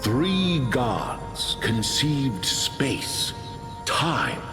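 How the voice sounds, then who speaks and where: A man playing a grave teller of ancient myth in a video game, narrating in a solemn, deliberate, portentous way. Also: mid-range voice, big pitch swings, music in the background. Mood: solemn, reverent.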